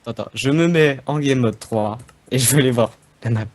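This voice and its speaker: A man's voice: mid-range voice, normal speed, a crowd in the background. A young man playing a game with a friend, teasing and chuckling at his own jokes. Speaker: co-player. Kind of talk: casual gaming chat.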